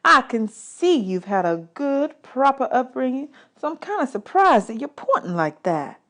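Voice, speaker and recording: A reader putting on an expressive, motherly lady jazz singer. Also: high voice, normal speed, voice pushed up. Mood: tender, concerned. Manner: drawling endearments, exclaiming when concerned. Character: warm, motherly adult woman jazz singer, expressive and doting.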